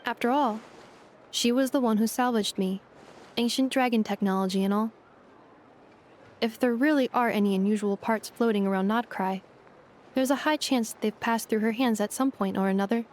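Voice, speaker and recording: A woman voicing a calm, strict robot caretaker in a video game, with a formal, measured, matter-of-fact delivery. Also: on the high side, lightly acted.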